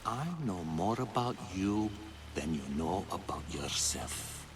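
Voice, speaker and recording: An actor playing a cold, grim, fanatical hunter villain in a video game, speaking in a deliberate, ominous way. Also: male voice, low-pitched, expressive, background noise. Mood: cold menace. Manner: slow, deliberate, ominous delivery. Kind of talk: dramatic video game acting.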